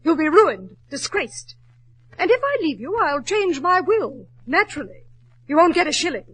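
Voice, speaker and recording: An actress playing an imperious, mocking wealthy wife, in 1940s radio drama style. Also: female voice, high voice, sing-song, normal speed, a bit bright, rough recording. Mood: taunting. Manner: mocking, domineering.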